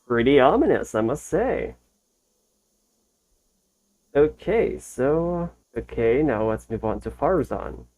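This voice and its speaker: Mellow-voiced man reacting and reading aloud. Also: mid-range voice, sing-song, slow pace, pauses often. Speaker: reaction video host. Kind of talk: casual reaction commentary and reading text aloud.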